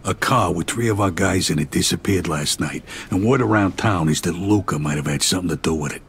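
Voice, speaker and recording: Game role: gruff middle-aged American gangster, deep, commanding, slight background noise. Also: male voice, fairly quick. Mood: businesslike. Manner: matter-of-fact, giving orders. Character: middle-aged mob underboss, gruff and businesslike. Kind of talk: video game cutscene acting.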